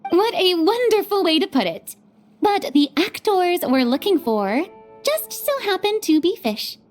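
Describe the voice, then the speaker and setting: A woman playing a theatrical, exuberant young film director in an anime video game, with an expressive delivery. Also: very high-pitched, a little slow, full character voice. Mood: theatrical, musing. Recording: faint music behind.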